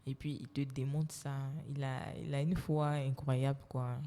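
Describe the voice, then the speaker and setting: Female interview guest, low mellow voice, measured delivery. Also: flat delivery. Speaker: guest, human resources specialist. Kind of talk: casual interview. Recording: long hall reverb.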